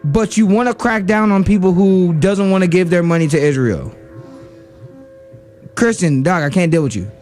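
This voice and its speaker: A man's voice: high-pitched, normal speed, a little mellow, soft background music. Heated man in a political rant. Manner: heated, argumentative, with many fillers and restarts. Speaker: radio show co-host. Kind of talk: political commentary.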